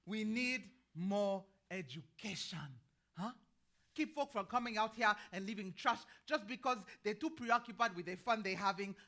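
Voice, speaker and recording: An actress with an American accent playing a chatty, nosy older gardener in a staged play reading, meandering and prodding. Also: female voice, medium pitch, moderate pace, sharp tone. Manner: folksy, meandering, prodding with questioning hums.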